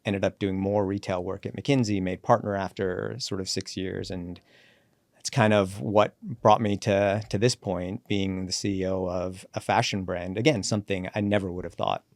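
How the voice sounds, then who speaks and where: A man in his forties, a company CEO born in Sri Lanka and raised in Canada, telling his career story in a relaxed podcast interview and acting out the dialogue he quotes. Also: deep voice, vocal fry, dry recording, clean studio sound. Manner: reflective, brisk storyteller who acts out quoted dialogue.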